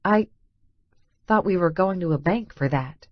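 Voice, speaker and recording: A woman narrates a first-person romance story in a lowish voice. The recording is very dry and a little dull, with no room around her. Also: lively intonation, measured pace, dry recording. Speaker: audiobook narrator.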